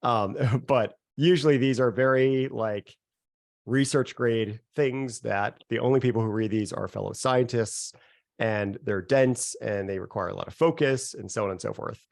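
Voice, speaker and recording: A man getting over a cold, with a nasal voice, giving a relaxed, conversational workshop presentation over a video call. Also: medium pitch, unhurried, creaky, dry recording, clean studio sound. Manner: relaxed and conversational, chuckles at himself. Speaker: software founder presenting a workshop. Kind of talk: casual live webinar presentation.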